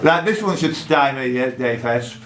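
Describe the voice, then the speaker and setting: A man hosting a live quiz in a pub, reading questions out deliberately and adding jokey asides. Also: medium pitch, expressive, a bit bright. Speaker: quizmaster. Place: indoor pub. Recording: echoey pub room with background noise.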